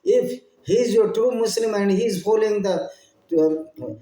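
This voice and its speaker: A man from India with an Indian English accent and Hindi as his first language, addressing his channel's viewers in an impassioned solo monologue. He speaks halting English, often repeating and rephrasing his phrases. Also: high voice, warm tone, echoey room. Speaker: channel host.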